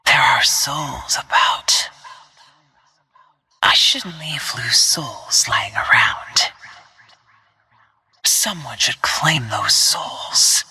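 An actress voicing a cold, self-assured assassin soldier in a video game, with a breathy, clipped, deliberate delivery. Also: female voice, rumbling low, expressive, sharp tone, dry recording, full character voice. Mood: hushed, watchful. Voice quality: breathy, whispery. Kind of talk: scripted video game voice lines.

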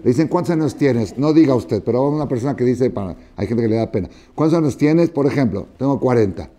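A man in his sixties teaching a Torah class in a study hall, expressive and often asking his listeners whether they follow. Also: mid-range voice, fast. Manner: expressive, questioning listeners, acting out dialogue. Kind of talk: animated Torah lesson with parables. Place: study hall with wooden chairs, indoors.

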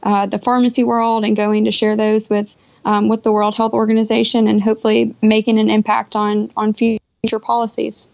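Young woman, creaky voice, lo-fi call. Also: fairly high pitch, monotone, normal speed, darkish tone. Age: young adult. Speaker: podcast guest, pharmacist. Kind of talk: conversational interview answers. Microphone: Skype call. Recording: dull lo-fi internet call audio.

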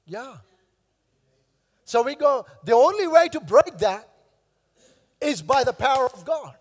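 A man in his thirties, a pastor with a hoarse, worn voice, preaching emphatically on a church stage into a headset mic, repeating key phrases. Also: very high voice, big pitch swings, unhurried, long reverb tail. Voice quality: coughing now and then. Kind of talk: sermon. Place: large church stage with long reverb.

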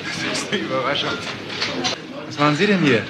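An actor playing a smooth, self-assured man with a secret in a crime radio play, speaking in an urbane, measured way. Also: fairly high pitch, lively intonation, very noisy, lightly acted. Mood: polite, knowing. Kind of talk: German radio crime drama acting.

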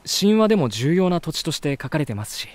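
A man's voice: on the high side, sing-song, fast talker, no room sound. An actor playing a polite, curious male visitor in an anime-style voice drama, both talking and narrating earnestly.

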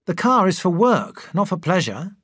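A narrator voicing an ambitious young doctor in an audiobook, brisk and self-satisfied. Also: medium pitch, sing-song, a bit bright, slightly rough, no room sound, clean studio sound, pitched above the performer's own voice. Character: ambitious young male doctor, proud and impatient. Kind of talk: audiobook character voice.

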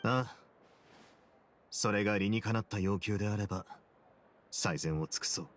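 A man playing a cool, brooding young fortress warden in a game, speaking in a measured, grave, self-possessed way. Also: on the low side, close to an everyday voice. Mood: calm, accommodating. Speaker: game character. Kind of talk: dramatic game dialogue.

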